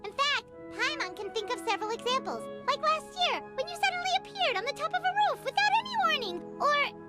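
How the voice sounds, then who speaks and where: A woman's voice: very high voice, expressive, brisk. A woman voicing a tiny, chatty female fairy companion who talks about herself in the third person, in a video game. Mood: teasing. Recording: game audio with loud music.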